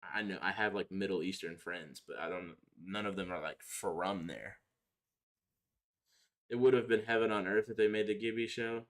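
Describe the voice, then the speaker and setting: A man's voice: low-pitched, normal speed. A young man chatting casually on a livestream, answering viewers in slangy, profane, opinionated talk. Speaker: live streamer.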